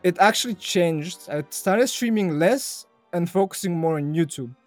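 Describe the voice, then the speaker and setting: A man's voice: sits high, lively intonation, moderate pace, faint music behind. Young man. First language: Bulgarian. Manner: chatty, laughs easily, repeats phrases. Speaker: guest. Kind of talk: casual podcast interview.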